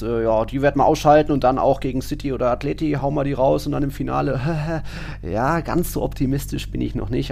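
A man's voice: mid-range voice, creaky, a little mellow. A man co-hosting a football podcast in a casual, chatty, joking way, dropping in Spanish terms. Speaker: podcast co-host.

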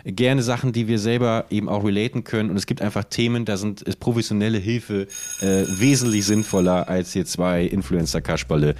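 A man's voice: fairly low pitch, steady tone, brisk, darkish tone. A man co-hosting a podcast in casual comedic chat, telling things in a rambling, playful and theatrical way. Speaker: podcast co-host.

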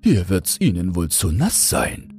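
A man's voice: low-pitched, huge pitch swings, measured pace, darkish tone, soft background music, heavily acted. A man voicing polite, welcoming pond frogs in a fairy tale, speaking with formal courtesy. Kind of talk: fairy tale audiobook character voice.

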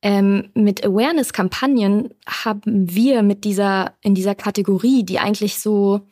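A woman's voice: mid-range voice, fairly even intonation, moderate pace, mellow, no echo, clean studio sound. A woman from western Germany, explaining things conversationally as an interview guest. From Düsseldorf, North Rhine-Westphalia, Germany. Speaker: brand manager, podcast guest.

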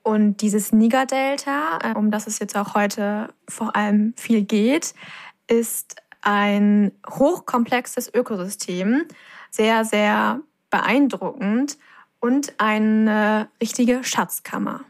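A woman's voice: mid-range voice, slow. A woman co-hosting a podcast, presenting facts in a conversational style. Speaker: podcast co-host.

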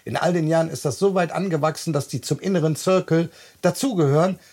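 A man, co-hosting a podcast and telling stories with lively enthusiasm. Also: fairly high pitch, fast talker. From Bonn, Germany. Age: 40s. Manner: animated, enthusiastic storyteller. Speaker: podcast co-host. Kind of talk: casual chat.

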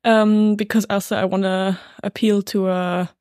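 A woman's voice: mid-range voice, level tone, unhurried, a little mellow, dry recording, studio quality. A woman hosting a podcast, interviewing a guest with short encouraging affirmations. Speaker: podcast host.

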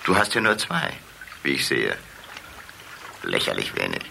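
Gravelly, creepy lamprey. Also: male voice, rumbling low, huge pitch swings, a little slow, pushed and bright, slight background noise, heavily acted. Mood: smug, sly. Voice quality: gravelly, creaky. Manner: drawling, mock-polite, hesitating. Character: creepy, vain male lamprey, sly and condescending. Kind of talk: children's radio play acting.

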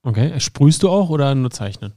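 A man hosting a sports team podcast, asking casual, quick follow-up questions in an interview. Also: mid-range voice, lively intonation, warm tone, no echo, studio quality. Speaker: podcast host.

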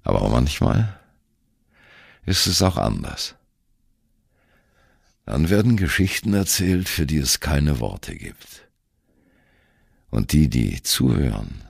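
An actor playing an aging, gruff free jazz musician in an audio drama monologue, blunt, wry and reflective, with a gravelly voice. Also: male voice, extremely low pitch, no echo, clean studio sound, full character voice. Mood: reflective, weary. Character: aging male free jazz veteran, gruff, irreverent, wistful.

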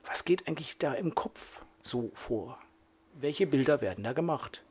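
A man's voice: mid-range voice, lively intonation, normal speed, darkish tone, slightly rough. A middle-aged man from northern Germany co-hosting a podcast, chatty and enthusiastic as he thinks aloud. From Lower Saxony, Germany. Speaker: podcast co-host. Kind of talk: casual podcast chat.

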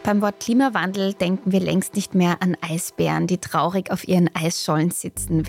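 A woman hosting a podcast, reading a calm, measured scripted introduction. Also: medium pitch, vocal fry. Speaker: podcast host. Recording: soft music under the voice. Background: music.

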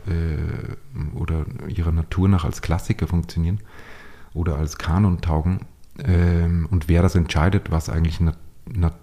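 A man's voice: sits low, mellow. A man giving reflective, intellectual interview answers in a conversational way, hesitant and often rephrasing as he searches for words. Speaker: interview guest, playwright.

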